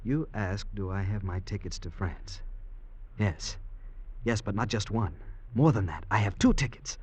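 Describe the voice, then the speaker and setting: An actor in an old American radio drama playing a cheerful, cocky young convict who dreams of freedom. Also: male voice, on the low side, measured pace, a little mellow, breathy edge. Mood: confident. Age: young adult.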